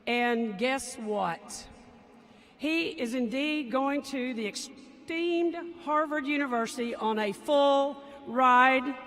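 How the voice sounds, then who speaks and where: A high-pitched woman announces each graduating speaker with evident pride, reading out their awards and plans. Her voice carries over a faint hiss from the stadium sound system. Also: fairly bright tone. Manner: proud, announcing honors. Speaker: school administrator introducing speakers. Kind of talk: formal ceremony introductions. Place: large stadium.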